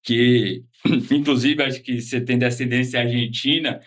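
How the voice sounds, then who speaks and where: A man's voice: mid-range voice, fairly even intonation, moderate pace, fairly bright tone. Laid-back Bahian man, slangy chat. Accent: Bahian Portuguese. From Salvador, Bahia, Brazil. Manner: laid-back slangy talk with frequent tag questions. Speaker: guest, former patient. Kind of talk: casual interview chat.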